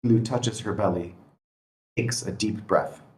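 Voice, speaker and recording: A man's voice: lowish voice, measured pace, darkish tone. A man reading screenplay action lines as scripted narration at a table read, recorded in an echoey room. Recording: echoey room with faint noise.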